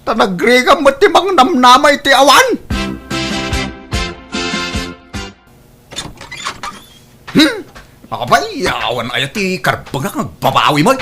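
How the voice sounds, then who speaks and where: A man with an Ilocano accent playing a stubborn, exasperated son in a comedy radio-style drama, animated and complaining. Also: very high voice, moderate pace, a little hiss or hum, heavily acted. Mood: agitated, protesting.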